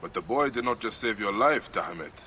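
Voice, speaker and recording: An actor playing a grim, menacing avenger in a video game, speaking in a terse, stern way. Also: male voice, on the low side, lively intonation, brisk, slight background noise. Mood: stern, probing. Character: grim, menacing male avenger hunting a stolen relic. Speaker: game protagonist. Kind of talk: dramatic video game acting. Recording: loud background music.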